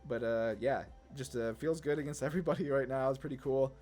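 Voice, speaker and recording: A man's voice: medium pitch, brisk, darkish tone, soft background music. A man giving casual, slangy card game commentary, thinking aloud hesitantly as he plays. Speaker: gaming channel host.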